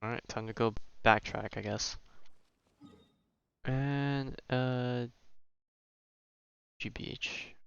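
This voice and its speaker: A man's voice: medium pitch, steady tone, very slow, creaky, pauses often, a bit bright, clean tone, dry recording, muffled recording. A young man streaming a game and chatting casually, slangy and muttering as he thinks aloud. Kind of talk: casual live gameplay chat.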